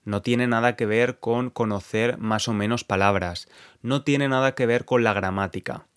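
A young man from Spain with a Spanish accent, hosting a podcast and explaining things clearly and calmly to Spanish learners. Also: medium pitch, moderate pace. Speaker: podcast host teaching Spanish. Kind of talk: scripted podcast monologue for learners.

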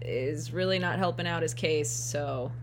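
A woman's voice: sits low, unhurried, a little hiss or hum. A young woman co-hosting a casual true-crime podcast. She teases her co-host, giggles a lot and stumbles over words while reading her notes.

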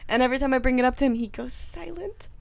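A woman's voice: sits high, fast talker, gravelly, no room sound. A young woman co-hosting a casual chat podcast, teasing and playful with her friend. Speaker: podcast co-host.

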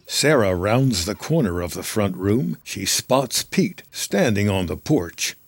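A man's voice: fairly low pitch, sing-song, takes it slowly, breathy edge, dry recording. A man reading scripted screenplay narration as the narrator of a drama. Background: music.

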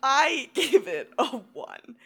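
A woman's voice: high voice, sing-song, slow pace, a bit bright. A woman co-hosting a podcast in casual chat, with a teasing, drily sarcastic way of talking. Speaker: podcast co-host. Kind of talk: casual chat about horror movies.

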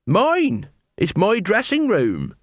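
Guy doing a whiny old beggar queen, shrill and bossy, country way of talking, audiobook character. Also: wildly swooping pitch, takes it slowly, dry recording, studio quality, exaggerated voice. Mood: puzzled. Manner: rustic, ungrammatical speech.

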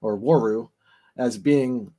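A man's voice: mid-range voice, takes it slowly, mellow. Hesitant man rambling through a theory. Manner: rambling and hesitant, many fillers and restarts. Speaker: podcast guest. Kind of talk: casual discussion of game lore.

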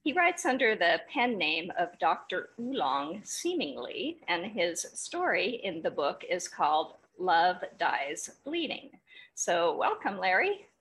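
A woman's voice: lowish voice, sing-song, slow pace, a bit bright, husky, rough recording. A woman hosting a podcast, speaking in a conversational interview style. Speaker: podcast host.

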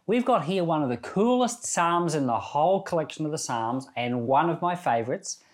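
A middle-aged man giving Bible reading and devotional commentary in an earnest, conversational way with deliberate phrasing. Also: fairly high pitch, animated.

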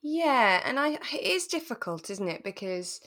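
A woman co-hosting a podcast in a relaxed conversational style, chatty and reflective, often ending on tag questions. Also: on the high side, normal speed. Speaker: podcast co-host. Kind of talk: relaxed conversational drama recap.

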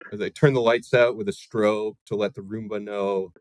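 A man's voice: fairly low pitch, level tone, moderate pace, no echo. A man hosting a casual podcast chat, laid-back and enthusiastic, swearing freely. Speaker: podcast host.

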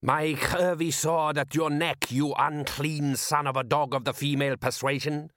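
A man voicing a rival foreign fisherman in an audiobook, theatrically indignant and declamatory, with florid, drawn-out delivery. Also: on the high side, flat delivery, fairly bright tone, no echo, clean studio sound, voice pushed up, natural voice.

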